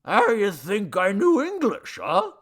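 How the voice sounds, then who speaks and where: A man voicing a tipsy, boastful old Babylonian idol god from a story, with a slurred, booming, folksy drawl. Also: wildly swooping pitch, measured pace, a bit bright, heavily acted. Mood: drunken boasting.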